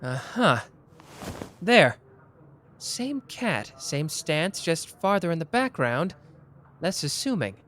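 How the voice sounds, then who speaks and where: Man playing a sharp playful young detective, ASMR roleplay, teasing, animated delivery. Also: fairly high pitch, a little hiss or hum, exaggerated voice. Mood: probing. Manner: teasing, quick-witted. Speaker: voice actor.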